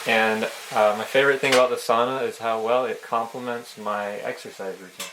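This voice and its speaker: A man's voice: mid-range voice, steady tone, brisk, noisy background. A man introducing himself in a plain, slightly hesitant way. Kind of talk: short spoken greeting.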